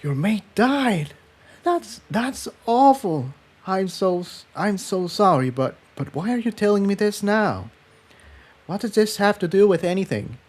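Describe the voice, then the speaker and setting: A man voicing a gentle, shy young female pony in a soft, hesitant storybook voice. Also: high-pitched, sing-song, measured pace, voice pushed up, full character voice. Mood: shocked, sympathetic.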